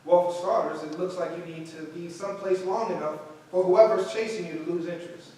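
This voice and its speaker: A man plays an encouraging, composed director of a 1950s Harlem theater in a staged drama. His voice is high for a man, heard from far off in a very echoey space. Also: fairly even intonation, a little fast, a little mellow, natural voice. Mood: calm, encouraging. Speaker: stage actor. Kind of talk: staged play reading. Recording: roomy far-off mic, faint noise.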